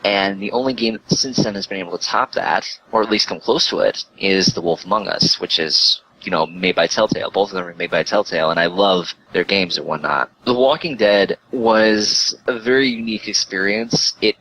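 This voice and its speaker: A man's voice: lowish voice, normal speed, few pauses, pushed and bright, breathy edge, low-quality recording. A man chatting casually as an interview guest, rambling and emphatic as he talks. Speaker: guest, live streamer and writer. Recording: faint background noise.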